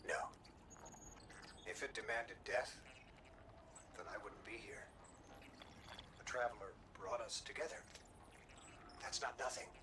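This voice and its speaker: An actor playing a loyal, gentle machine companion in a video game, tender and steadfast in his reassurance. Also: mid-range voice, unhurried, pauses often, noisy background, natural voice. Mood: earnest, pained. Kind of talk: video game cutscene acting. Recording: distant voice under music.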